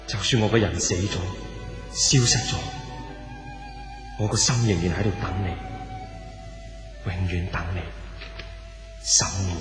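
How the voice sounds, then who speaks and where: Echoey, low-voiced lovelorn man. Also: unhurried, pauses often. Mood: devoted, longing. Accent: Hong Kong Cantonese. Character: lovelorn man reading his farewell letter, earnest and devoted. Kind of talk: 1970s Cantonese radio drama monologue. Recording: echoey 1970s radio drama, music underneath. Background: music.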